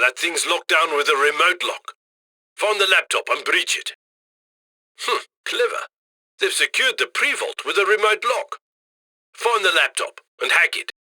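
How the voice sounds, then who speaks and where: Roguish heist handler, very bright forceful voice, higher-pitched, chummy, cheeky, video game mission lines. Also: male voice, no room sound, heavily acted. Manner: chummy, cheeky, colloquial, gleeful about loot. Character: cheerful roguish male heist handler guiding a robbery crew.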